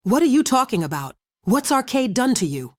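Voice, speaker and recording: A woman voicing a caring, earnest and gentle superheroine in a video game. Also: on the high side, very animated, fairly quick, no room sound, clean studio sound. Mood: worried sympathy. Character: caring, earnest female mutant heroine.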